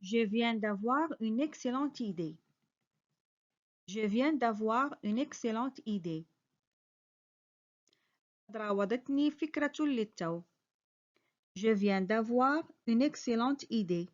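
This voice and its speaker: High-voiced teacher woman drilling phrases. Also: expressive, a little slow, pauses often, dull audio. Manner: careful, clearly spoken model sentences, each repeated. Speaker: French teacher. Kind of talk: slow repeated phrase drills with quick translations.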